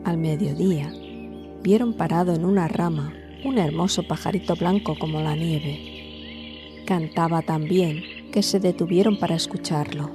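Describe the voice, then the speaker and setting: A woman narrating a scripted fairy tale as a storyteller, in a measured, dramatic way. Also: lowish voice, music in the background.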